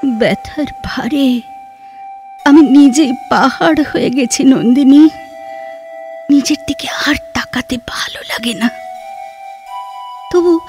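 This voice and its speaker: A woman playing a reflective, scholarly, sorrow-laden heroine in an audio drama, speaking in a measured, lyrical way with expressive pauses. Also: high-pitched. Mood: sorrowful, tender. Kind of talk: Bengali audio drama dialogue.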